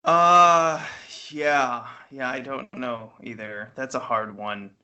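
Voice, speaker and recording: A man chatting casually and jokily as a film podcast co-host, with an animated way of talking. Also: mid-range voice, takes it slowly, muffled recording. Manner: animated, jokey, acts out imagined quotes. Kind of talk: casual conversational film chat. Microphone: internet voice call.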